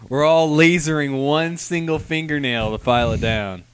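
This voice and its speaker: A man's voice: a little high, normal speed, a little hiss or hum. A man co-hosting a review show in casual, joking chat, with a wry, dismissive way of talking. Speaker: co-host reviewer.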